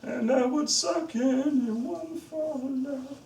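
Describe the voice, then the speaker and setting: Slow-talking man, high lively voice. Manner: unhurried crude wisecracks, laughing. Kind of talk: joking behind-the-scenes chat. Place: indoor film studio room with couch. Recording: roomy studio, distant mic, faint hum.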